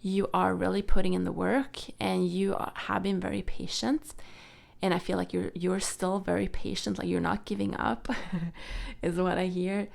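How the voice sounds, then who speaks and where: A young woman whose first language is Swedish gives a tarot card reading in a soft, hesitant way with many fillers. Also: on the low side, flat delivery, normal speed, creaky. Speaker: tarot reader.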